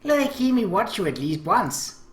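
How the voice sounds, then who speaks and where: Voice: mid-range voice, very animated, normal speed, voice pushed up, close to an everyday voice. A game commentator voicing a cheeky, teasing, provocative little sister from an anime visual novel. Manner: talks about herself in third person, provocative. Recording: echoey room.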